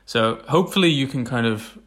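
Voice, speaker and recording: A man with a deep voice, a podcast host, giving a casual, hesitant introduction full of fillers. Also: expressive, brisk, a bit bright. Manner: hesitant, many fillers and restarts.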